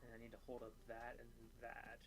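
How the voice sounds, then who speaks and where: A man's voice: mid-range voice, monotone, brisk, creaky, over music. A young man chatting casually with a friend while playing a card game, offhand and dry, muttering his moves to himself. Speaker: streamer's friend. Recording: noisy background.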